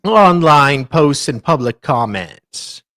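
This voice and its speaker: A man's voice: a little high, expressive, slow pace, a bit bright, dry recording, studio quality. A man co-host reading formal text aloud, stumbling now and then over the words.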